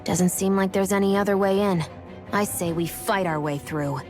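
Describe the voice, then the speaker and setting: A woman's voice: mid-range voice, normal speed, darkish tone, faint music behind, lightly acted. An actress playing a tough, matter-of-fact female fighter in a video game cutscene. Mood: resigned, resolute.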